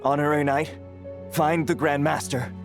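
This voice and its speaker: An actor playing a gruff, grumbling but loyal seasoned knight in a video game. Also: male voice, on the high side, fairly even intonation, moderate pace, music in the background, natural voice. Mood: grumbling concern.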